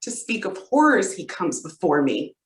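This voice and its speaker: A young woman playing a frightened young noblewoman in a Shakespearean stage monologue, with a formal, measured, dramatic delivery. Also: lowish voice, very animated. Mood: distressed, fearful. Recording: roomy, distant microphone.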